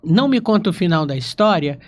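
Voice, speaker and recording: A podcast host interviewing a guest in a casual, informal and deliberate conversational way. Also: mid-range voice, expressive.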